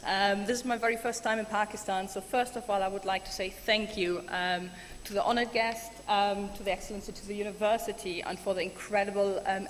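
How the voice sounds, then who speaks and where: A woman from Germany giving a chatty, enthusiastic keynote speech with many ums. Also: mid-range voice. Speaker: keynote speaker. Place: large conference hall. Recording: hall reverb, faint room noise.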